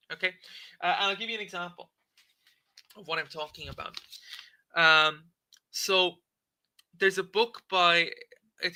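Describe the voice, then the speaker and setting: Bright-voiced man lecturing with "okay?". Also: high voice. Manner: conversational, frequent "okay?" checks and filler "um". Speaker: livestream debate host. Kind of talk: casual lecture reading and commenting on a text.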